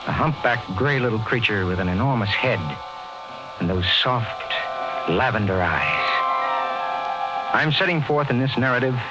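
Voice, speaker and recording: A man's voice: mid-range voice, natural voice. A man playing a stern, proud Earth captain in a 1950s radio drama, speaking and narrating in a formal, commanding way. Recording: dull vintage radio recording, music behind.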